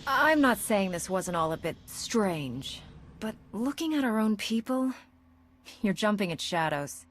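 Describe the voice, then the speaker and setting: A woman playing a composed, sceptical Jedi hero in a space-opera video game, speaking in a measured way. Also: medium pitch, sing-song. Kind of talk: video game dialogue acting.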